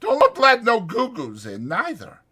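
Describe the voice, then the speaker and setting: A gossipy young ranch hand as performed by a male reader, pitched high and swooping up and down. He talks in folksy, ungrammatical slang, lively and eager to share, in a dramatized storybook style with a bright, forceful edge. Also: unhurried, breathy edge, exaggerated voice. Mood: enthusiastic.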